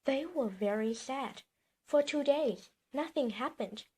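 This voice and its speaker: A woman reading a children's bedtime story aloud in an expressive, emphatic storytelling manner. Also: high-pitched, slow.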